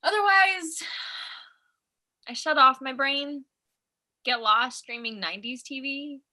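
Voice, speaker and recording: Female student actress, high and sharp, slow halting delivery: anxious, overwhelmed, wry, naturalistic play reading. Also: heavily acted. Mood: overwhelmed, fretful. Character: overwhelmed, anxious young woman college student, self-deprecating. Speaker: actor in a staged play reading. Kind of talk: naturalistic dramatic dialogue, read online.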